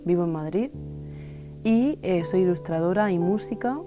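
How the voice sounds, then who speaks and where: A woman in her twenties from Spain, an illustrator and musician, talking thoughtfully in an interview with many pauses and fillers. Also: lowish voice, over music. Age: 20s. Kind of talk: reflective interview monologue.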